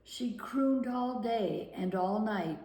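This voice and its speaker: Woman doing storytime read-aloud, super slow n expressive, some fry in the voice, roomy echo. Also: medium pitch. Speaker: guest storyteller. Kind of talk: children's picture-book read-aloud. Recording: roomy, echoing room sound.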